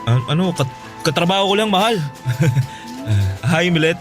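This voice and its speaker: A man's voice: high voice, animated, moderate pace. An actor playing an evasive, placating young male fiancé in Filipino radio drama, nervous and coaxing, with a Filipino accent. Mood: flustered. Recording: background music under the voice.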